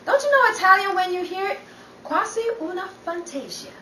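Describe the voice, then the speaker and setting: Woman acting high-voiced, sardonic 1930s lady writer. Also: animated, fairly quick, bright, forceful tone, heavily acted. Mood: lofty. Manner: arch, theatrical, sardonic. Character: dry, witty, dramatic 1930s woman writer. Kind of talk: 1930s radio comedy acting. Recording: roomy, distant, noisy stage-like recording.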